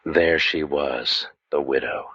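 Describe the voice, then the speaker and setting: An actor playing a cynical, wisecracking waterfront private eye, narrating old-time radio noir in a deadpan, world-weary manner. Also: male voice, extremely low pitch, slow, gravelly, breathy edge, dry recording, lo-fi sound, heavily acted. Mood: wry, brooding. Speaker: narrator and lead detective.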